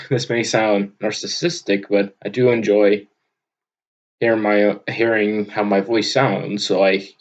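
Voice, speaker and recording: Booming young man rambling into a computer mic. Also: highs cut off. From Vermont, USA. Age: young adult. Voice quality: loud booming voice. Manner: rambling, frequent filler words and restarts. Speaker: audio log host. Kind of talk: unscripted casual monologue. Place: at his desk, indoors. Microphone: computer microphone on a desk.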